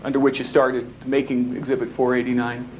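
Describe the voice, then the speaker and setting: A man acting as the lawyer in a deposition, questioning a witness in a halting way and repeating words as he forms his questions. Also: mid-range voice, little pitch movement, slight background noise. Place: deposition room, indoors. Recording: dull lo-fi videotape recording.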